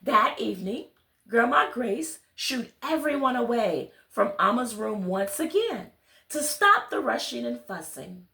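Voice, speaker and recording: A woman reading a children's picture book aloud, expressive, speaking directly to her young listeners. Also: on the high side, unhurried, creaky, reverberant. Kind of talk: children's read-along with friendly asides to listeners.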